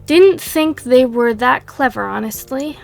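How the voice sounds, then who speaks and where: A laid-back female monster hunter read by a woman, with a higher voice delivered slow and unhurried. The voice has a gravelly, fried edge and sounds a little worn, as if it is going hoarse. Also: animated, soft background music, exaggerated voice. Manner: reads dialogue aloud in her own plain voice. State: losing her voice.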